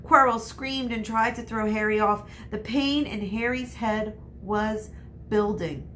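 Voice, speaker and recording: A woman reading a children's novel aloud like a teacher, with expressive narration. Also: fairly high pitch, unhurried, slight background noise. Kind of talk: expressive scripted story narration.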